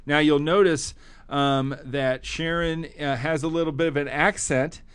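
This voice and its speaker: A man's voice: on the high side, steady tone, a little slow, a bit bright, smooth, no room sound. A man hosting a motivational podcast, with an enthusiastic, punchy delivery. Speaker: podcast host.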